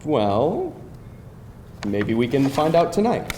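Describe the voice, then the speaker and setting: A man playing a pushy, glib boyfriend of about thirty at a live screenplay table read, with an animated, wheedling delivery. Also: takes it slowly. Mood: persuasive. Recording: roomy live hall, faint rumbling noise.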